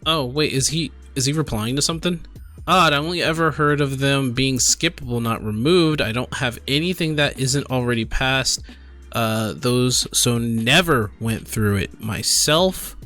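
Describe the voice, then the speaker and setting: A man's voice: medium pitch, measured pace, bright, forceful tone, soft background music. A man giving casual, exasperated gaming commentary while reading posts aloud, repeating himself for emphasis. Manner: exasperated, incredulous, emphatic with repetition. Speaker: gaming streamer and host.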